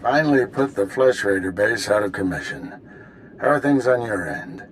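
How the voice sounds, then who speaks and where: Guy playing a steady old-hand master giving orders, game acting, businesslike, bit of background noise. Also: fairly low pitch, normal speed, natural voice, rough recording. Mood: grave, commanding. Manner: businesslike, authoritative. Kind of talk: video game drama acting.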